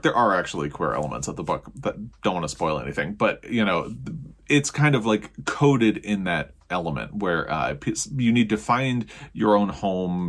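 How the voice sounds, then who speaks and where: A man giving a casual, chatty book review as a video host, leaning on key words and adding self-qualifying asides. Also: lowish voice.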